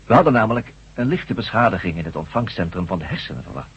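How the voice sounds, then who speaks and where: A husky, low-voiced professor of surgery, grave and formal, with sweeping emphatic intonation. He lectures with authority in a radio play. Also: very animated, fast talker, fairly bright tone, exaggerated voice. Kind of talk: radio drama acting. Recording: dull lo-fi old radio recording.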